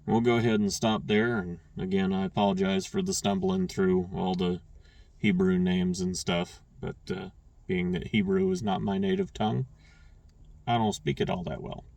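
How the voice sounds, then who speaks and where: A man reading scripture aloud, stumbling and restarting on names and clearing his throat now and then. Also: low-pitched, little pitch movement, moderate pace, a little mellow, far from the mic. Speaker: scripture reader.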